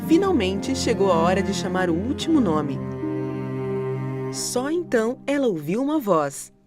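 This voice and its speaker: A woman narrating a scripted children's storybook in an expressive, dramatic way. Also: mid-range voice, unhurried. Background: music.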